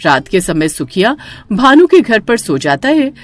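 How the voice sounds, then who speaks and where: A woman's voice: medium pitch, sing-song, unhurried, faint music behind. A woman narrator reading a scripted horror story.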